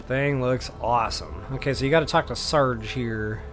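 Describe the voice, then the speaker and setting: A man's voice: mid-range voice, normal speed, soft background music. An American man hosting a gameplay walkthrough, commenting in an informal, candid and explanatory way. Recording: faint game noise behind voice.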